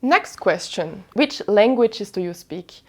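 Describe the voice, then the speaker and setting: A woman's voice: medium pitch, animated, slow pace, husky. Austrian-accented woman, reflective and casual. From western Austria. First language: Vorarlbergerisch Alemannic German. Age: 30s. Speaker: podcast host. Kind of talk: casual knitting vlog chat.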